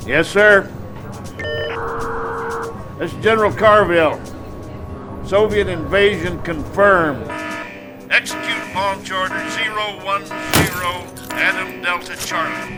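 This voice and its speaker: An actor playing an obedient American general with an American accent, speaking in clipped military formality in a game cutscene. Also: male voice, high voice, slow pace, long pauses. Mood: urgent. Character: brisk, obedient American general relaying orders. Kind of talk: cinematic game cutscene acting. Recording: loud music underneath.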